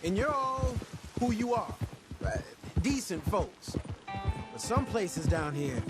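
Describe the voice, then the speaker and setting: An actor playing a young, easygoing male outlaw in a game cutscene, with a relaxed drawl. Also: high-pitched, slow, heavily acted. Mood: wry. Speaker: game character. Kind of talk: cutscene voice acting. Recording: game audio with loud music.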